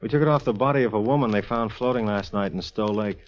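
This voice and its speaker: A man's voice: fairly low pitch, fast talker, lightly acted. An American actor playing a folksy, mildly exasperated male police lieutenant in a 1940s radio drama. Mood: dry banter. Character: gruff, good-natured male police lieutenant. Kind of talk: 1940s radio detective acting. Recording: muffled vintage 1940s radio recording.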